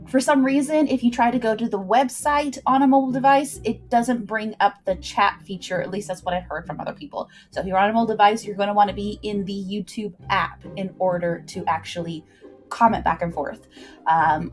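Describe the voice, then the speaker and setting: A woman hosting a livestream, chatting informally with viewers and recapping a story, with asides to the chat. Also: mid-range voice. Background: background music.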